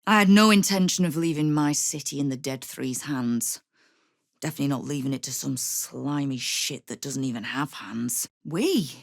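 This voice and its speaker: Game role: hard-bitten female guild boss. Low voice, sardonic and in command, very dry studio sound. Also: dry recording, full character voice. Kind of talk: video game character dialogue.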